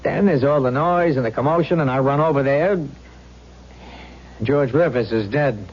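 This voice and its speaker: A man's voice: mid-range voice, moderate pace, natural voice. An actor playing an earnest, unsure patrolman, hesitant and deferential, in a 1970s radio drama. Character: earnest, unsure male patrolman, plain-spoken subordinate. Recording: muffled vintage radio broadcast.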